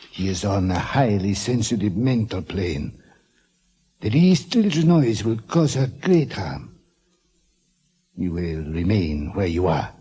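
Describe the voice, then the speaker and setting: Slow, mellow, eerie attendant. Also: male voice, fairly low pitch, breathy edge, heavily acted. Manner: ominous, measured, ceremonious instructions. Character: solemn male attendant of a fortune teller, eerie, ceremonious. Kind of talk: 1940s radio drama acting. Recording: old radio recording with hiss.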